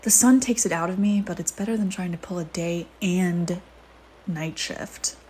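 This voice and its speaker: A woman's voice: lowish voice, steady tone, moderate pace, mellow, slight background noise, natural voice. A woman playing a flirty, teasing vampire in an intimate audio roleplay, with a sardonic, casual manner. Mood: tired, soft. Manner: sardonic, teasing, casual murmuring with sighs and whispered asides.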